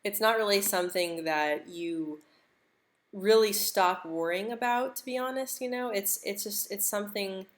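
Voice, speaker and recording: A woman's voice: mid-range voice, normal speed. A young American woman who stutters, repeating words and sounds, chatting casually on a live stream and laughing easily. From United States.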